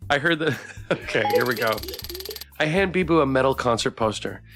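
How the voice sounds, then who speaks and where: A man's voice: mid-range voice, animated, normal speed, over music. A man streaming a game, reading the story aloud expressively and chatting with viewers as he goes. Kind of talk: playthrough narration with chat banter.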